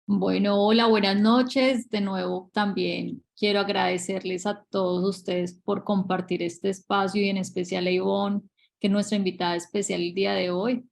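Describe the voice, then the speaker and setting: A woman's voice: mid-range voice, fairly even intonation, moderate pace, gravelly. A woman real estate agent co-hosting a webinar over a video call, searching for words and repeating herself.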